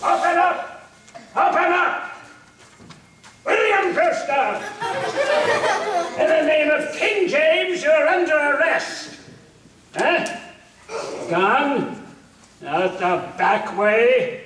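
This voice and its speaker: A villainous puppet soldier who bellows and gloats with wildly swooping pitch. The voice booms around a very echoey room, far from the mic. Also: male voice, expressive, extremely slow, pauses often, background noise, heavily acted. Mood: commanding. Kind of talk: puppet show acting. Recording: very echoey, distant live puppet stage.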